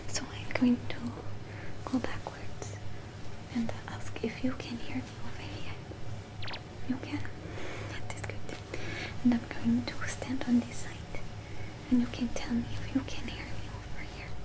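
A young woman playing a calm, helpful robot repair technician in a whispered, gentle ASMR roleplay, close on the mic. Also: on the high side, close to an everyday voice, low-quality recording. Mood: calm, attentive. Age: young adult. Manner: slow, gentle, soft-spoken. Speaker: ASMR performer. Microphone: close ASMR microphone.

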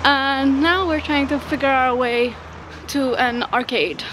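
Young woman, casual travel vlog, chatty, fairly high voice, handheld camera, city street noise. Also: steady tone, unhurried. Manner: chatty, laughs often, narrating plans as she walks. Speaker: travel vlogger. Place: outdoors in a city, streets and stadium grounds. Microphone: handheld camera at arm's length.

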